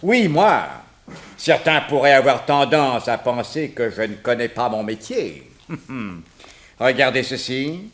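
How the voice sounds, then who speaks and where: An actor playing a confident, condescending police inspector in a French detective radio drama. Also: male voice, mid-range voice, animated, slow, a bit bright. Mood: confident, challenging. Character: self-assured, smug police inspector.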